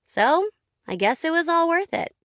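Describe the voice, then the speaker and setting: Lively, breezy young woman operative. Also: very high voice, normal speed, no echo, clean studio sound, heavily acted. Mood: teasing, content. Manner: casual, playful. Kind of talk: scripted game voice lines.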